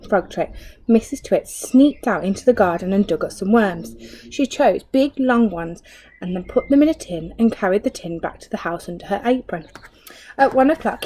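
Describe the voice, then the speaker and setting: A woman's voice: medium pitch, warm tone, slight background noise, low-quality recording. A woman reading a children's story aloud like a teacher, expressively, with asides and questions to young listeners.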